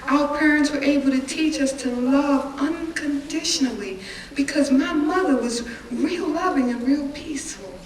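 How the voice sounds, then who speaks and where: A woman performing a dramatic stage reading as a middle-aged witness recalling hard times, pained and resolute, with emphatic, deliberate storytelling. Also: high voice, close to an everyday voice. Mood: tender reflection. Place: live reading venue, indoors. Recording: roomy, distant live-event recording with audience noise.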